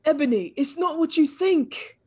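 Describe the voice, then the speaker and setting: A woman's voice: high voice, lively intonation, moderate pace, warm tone. A woman playing earnest, dramatic gothic teenagers in a comedic dramatic reading of fan fiction. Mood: pleading.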